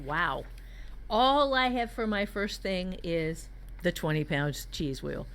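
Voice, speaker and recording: A woman's voice: lowish voice, animated, slow, a bit bright. A woman teaching a writing workshop in a conversational way, candid and joking as she explains. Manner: candid, jokey, mildly profane.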